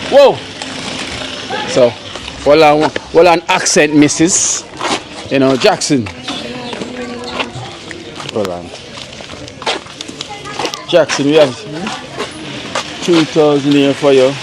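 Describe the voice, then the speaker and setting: A man's voice: fairly high pitch, expressive, slow pace, long pauses, noisy background. A man with a Jamaican accent hosting a casual street vlog, easygoing and laughing often. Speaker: vlogger host.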